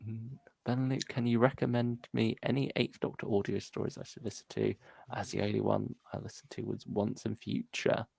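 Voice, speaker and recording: A man's voice: on the low side, level tone, moderate pace, creaky, darkish tone, slightly rough, no room sound, low-quality recording. A man co-hosting a podcast, chatting casually and a little hesitantly about fan topics and listener comments. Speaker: podcast co-host.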